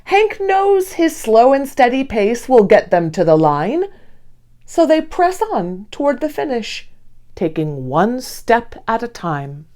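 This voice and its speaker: Animated high-voiced woman reading a storybook. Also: takes it slowly. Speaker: read-aloud host. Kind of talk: expressive children's picture book narration.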